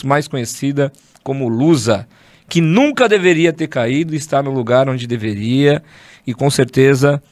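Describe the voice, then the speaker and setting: A man hosting a studio podcast, his voice sitting at an everyday middle pitch. The sound is dry and close, and he talks in an enthusiastic, chummy way. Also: dry recording. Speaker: podcast host.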